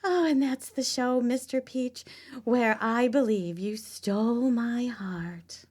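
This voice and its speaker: A woman's voice: a little high, slow, a little mellow, a little hiss or hum. A woman acting an audio play role as an effusive, breathless, flirtatious superfan of a cooking show. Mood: excited, adoring.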